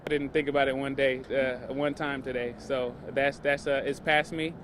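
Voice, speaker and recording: Guy athlete interview, monotone and halting, noisy outside. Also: medium pitch. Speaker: football player. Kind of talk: hesitant unscripted interview. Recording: noisy outdoor interview, traffic hum.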